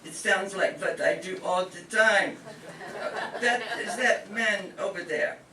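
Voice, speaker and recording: A man's voice: high-pitched, unhurried, sharp tone, a faint crowd, exaggerated voice. A man playing a fussy, grumbling immigrant mother in a comic radio play. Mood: wry, curious. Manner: kvetching, Yiddish-sprinkled talk. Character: fussy old-country immigrant mother, bewildered, grumbling, doting. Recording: roomy, distant stage mic, clear noise.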